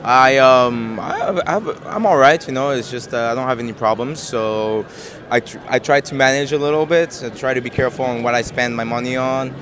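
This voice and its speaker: A man in his twenties from Japan, answering street interview questions in a soft, hesitant way with many fillers and easy laughs, into a handheld mic outdoors. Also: medium pitch, fairly quick. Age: 20s. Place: busy city square outdoors. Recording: busy street crowd behind.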